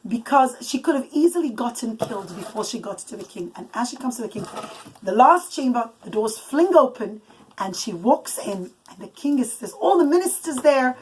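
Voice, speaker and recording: Higher-voiced woman telling a dramatic bedtime story. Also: lively intonation, moderate pace, a little mellow. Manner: dramatic, emphatic, with asides to children. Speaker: mother telling a story to her children. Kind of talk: improvised animated bedtime storytelling. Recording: noisy home recording with clicks. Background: young children chattering.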